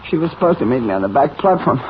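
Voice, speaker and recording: An actor playing a badly wounded, defiant crook in a 1940s radio serial, gasping weakly in broken, slangy speech. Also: male voice, medium pitch, sing-song, racing, lightly acted. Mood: pained, defiant. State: weak, gasping as if dying. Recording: muffled vintage radio recording, light noise.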